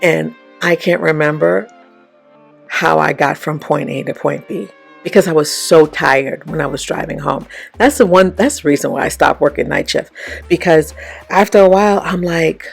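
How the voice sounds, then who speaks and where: A woman with a raspy voice giving casual motivational advice to her viewers, often checking in with "okay?" and "right?". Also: low voice, moderate pace, soft background music. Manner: chatty, frequent "okay?" and "right?" check-ins. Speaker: vlogger and life coach. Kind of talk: casual motivational talk to camera.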